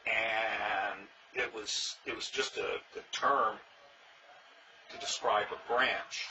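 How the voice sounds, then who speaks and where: Wry older man with a bright tone. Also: on the low side, steady tone, slow, distant mic. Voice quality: loud voice. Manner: wry, dry humor, plainspoken. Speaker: interviewee, former king of a historical reenactment society. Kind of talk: casual storytelling interview. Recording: dull sound, faint noise, from off mic.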